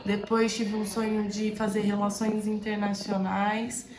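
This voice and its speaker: A woman who teaches at a public school, speaking in a measured, reflective way in a documentary interview. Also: mid-range voice, level tone. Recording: roomy echo with music underneath.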